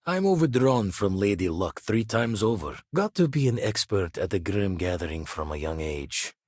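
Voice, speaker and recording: Game role: seasoned ex-pirate space explorer, gruff and wry, rough husky tone, very animated inflection, slangy turns of phrase. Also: male voice, on the low side, normal speed, dry recording, clean studio sound. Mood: grave, wry. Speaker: video game voice actor. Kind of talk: scripted game character dialogue.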